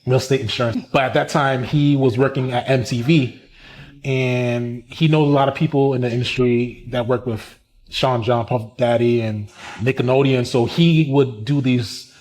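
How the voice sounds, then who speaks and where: A man, telling his story in a casual interview, animated and quick to laugh. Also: medium pitch. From Brooklyn, New York. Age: 30s. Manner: animated, chatty, laughs often. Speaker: musician and community organizer, interviewee.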